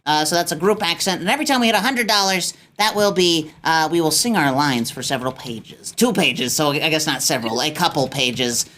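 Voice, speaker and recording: A woman's voice: low voice, animated, fairly quick, hardly any pauses, fairly bright tone. A woman chatting casually as a stream host and performer, jokey and teasing, poking fun at herself and reading out viewer messages. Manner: jokey, teasing, self-deprecating. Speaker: stream host and performer in a radio play cold-read show. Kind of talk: casual unscripted group chat, reading viewer donations.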